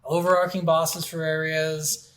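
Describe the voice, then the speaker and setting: A man's voice: on the high side, level tone, unhurried, fairly bright tone, a little hiss or hum, low-quality recording. A man co-hosting a podcast, chatting casually and bluntly about video games with plenty of swearing. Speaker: podcast co-host. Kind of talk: casual video game review chat.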